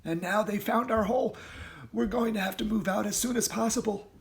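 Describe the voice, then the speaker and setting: A man's voice: high-pitched, a little fast, vocal fry, pitched above the performer's own voice. A man voicing a male fox father, by turns gloomy, frightened and urgently determined. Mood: worried. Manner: urgent, excited exclaiming.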